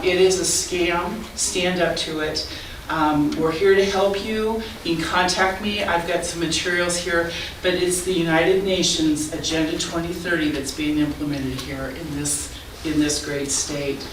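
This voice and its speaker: Loud woman pleading at a county hearing, far off mic, echoey, noisy room. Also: sits low. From Nebraska. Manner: loud, earnest, urgent appeal. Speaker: public commenter. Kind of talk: public hearing comment.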